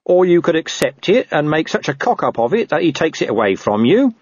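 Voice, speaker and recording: Man as a cheeky, chummy, husky-voiced copper. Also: fairly high pitch, sing-song, a little fast, no echo, muffled recording, voice pushed up. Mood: matter-of-fact.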